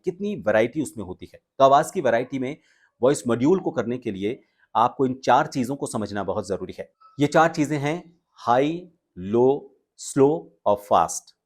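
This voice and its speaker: Male instructor, mid-pitched voice. Measured, explanatory delivery with deliberate phrasing and pauses on key words. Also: animated. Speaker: voice training instructor. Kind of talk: instructional talk on voice modulation, presenting to viewers.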